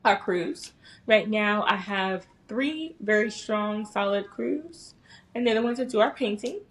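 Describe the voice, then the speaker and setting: A woman's voice: medium pitch, animated, measured pace. A woman with an American accent talking casually and informally as a guest in a podcast interview. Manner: informal, slangy. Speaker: podcast guest, small business owner.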